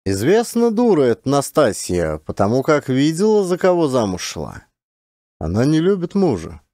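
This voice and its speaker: Audiobook part: blunt rustic idler, acted by a man, folksy grumbler with very lively intonation. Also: mid-range voice, moderate pace, no room sound, studio quality, pitched above the performer's own voice. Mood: scornful, animated. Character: beardless round-faced peasant man, brash idle forest-post teaser.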